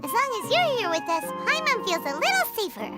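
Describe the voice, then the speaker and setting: A woman voicing a small, excitable, bossy fairy companion with a squeaky childlike voice in an anime game. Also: fairly quick. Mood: curious, uneasy. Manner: exclamatory, expressive, refers to self in third person. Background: game background music.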